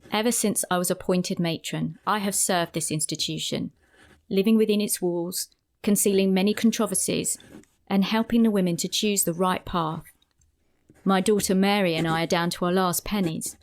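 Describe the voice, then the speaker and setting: A middle-aged Georgian matron in a period audio drama. In a slightly low voice she makes a formal, earnest appeal with weary dignity. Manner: formal, pleading letter-reading.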